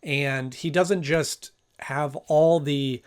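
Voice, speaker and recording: A man's voice: a little high, fairly even intonation, drawn-out words, clear, resonant tone. A man hosting a casual review, commenting in a conversational, thinking-aloud way with emphasis. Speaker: host, cartoon reviewer.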